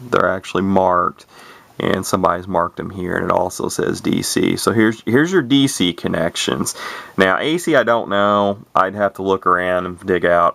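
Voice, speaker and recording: A man's voice: deep voice, vocal fry, a bit bright, dry recording. A man giving a casual, unscripted product walkthrough as a hobbyist reviewer, rambling a little and repeating himself. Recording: noisy amateur camcorder audio.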